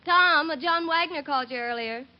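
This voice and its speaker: A woman's voice: high-pitched, normal speed, natural voice. An actress playing a sweet, playful young wife in her twenties in an old radio drama. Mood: casual. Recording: muffled vintage radio recording.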